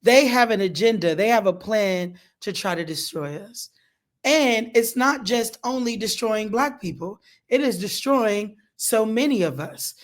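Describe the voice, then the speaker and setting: Emphatic organizer making a forceful panel point. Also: medium pitch. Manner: emphatic, preacherly, drops to quiet at phrase ends. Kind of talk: impassioned panel remarks.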